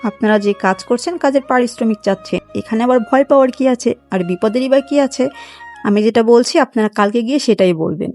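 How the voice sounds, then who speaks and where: A woman's voice: on the high side, brisk, a little mellow, soft background music, pitched above the performer's own voice, close to an everyday voice. A woman voicing a clever, bold young daughter-in-law in a cartoon folk tale, insistent and practical.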